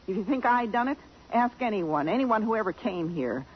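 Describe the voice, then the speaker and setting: An actress playing a blunt, defiant, fiercely loyal working-class housemaid in a 1950s radio drama. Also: female voice, mid-range voice, lively intonation, moderate pace, slight background noise, natural voice. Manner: blunt, defiant, plain-spoken. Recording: muffled 1950s radio broadcast.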